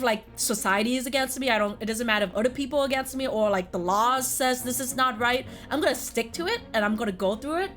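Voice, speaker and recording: A woman's voice: fairly high pitch, a bit bright. A woman chatting casually as a co-host, talking in a deliberative way as if thinking aloud. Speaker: co-host ranking video game quests. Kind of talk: casual chat.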